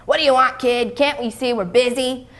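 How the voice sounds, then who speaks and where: Woman as gruff man, a busy disciple. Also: high voice, steady tone, moderate pace, darkish tone, lightly acted. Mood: impatient. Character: gruff, busy male disciple in a Bible story.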